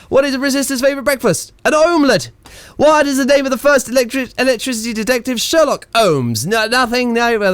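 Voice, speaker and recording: An actor as a rambling, jokey radio announcer, higher-voiced man. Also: clear, resonant tone, dry recording. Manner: stammering, digressive, cheeky. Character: jokey, rambling, self-mocking 1920s radio station announcer. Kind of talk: radio play, improvised-sounding comic broadcast patter.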